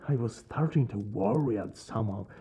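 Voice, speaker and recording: A man acting a brooding vampire lord, aloof yet secretly caring, in a soft, breathy ASMR roleplay that often drops toward a whisper. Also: mid-range voice, normal speed, a little hiss or hum, natural voice. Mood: lordly, relieved. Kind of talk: ASMR roleplay, intimate dramatic monologue.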